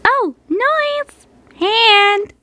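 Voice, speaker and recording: A woman puts on a very high little boy voice for a comic dub. The kid is innocent and eager, childlike and excitable, with a delivery that swoops around. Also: extremely slow, exaggerated voice. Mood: eager, cheerful.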